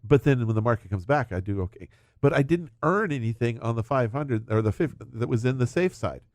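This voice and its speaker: Fast-talking man explaining money. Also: mid-range voice, animated, darkish tone, dry recording, studio quality. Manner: explains with hypothetical examples. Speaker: financial advisor. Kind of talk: casual financial chat.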